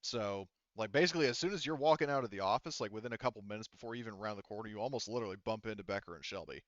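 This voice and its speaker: A man acting as game master, narrating and bantering casually in an informal, sometimes sweary and halting way. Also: fairly low pitch, no room sound, dull audio.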